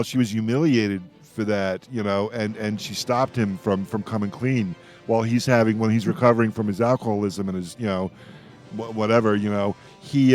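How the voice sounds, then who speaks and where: A man's voice: lowish voice, darkish tone. A man hosting a casual roundtable, speaking in stop-start bursts with repeated words. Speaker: radio discussion host.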